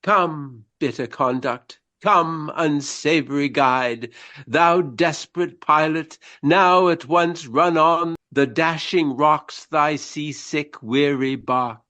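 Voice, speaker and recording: An actor playing a courtly, earnest Regency gentleman actor in a radio play, declaiming in a florid, formal and theatrical manner. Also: a little high, slow pace. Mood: mournful, declaiming.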